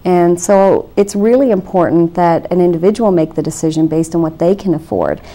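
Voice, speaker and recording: A woman with a somewhat low, mellow voice, talking as a debt counselor on a television interview show. She explains in a measured, reassuring way and fills her pauses with "you know" and small restarts. The sound is a clean studio recording. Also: animated. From Canada.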